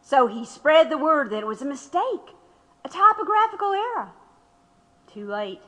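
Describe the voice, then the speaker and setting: A woman's voice: high voice, sing-song, moderate pace. A woman playing a defiant, wry activist under interrogation in an audio drama, firm and sardonic. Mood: explanatory. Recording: long echoing room reverb.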